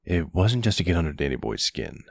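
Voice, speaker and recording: A man playing a smug, flirtatious middle-aged mafia boss in an ASMR roleplay drama, sweet-talking and gravelly. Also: sits low, big pitch swings, a little fast, no echo, clean studio sound, heavily acted. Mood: tender, flirtatious.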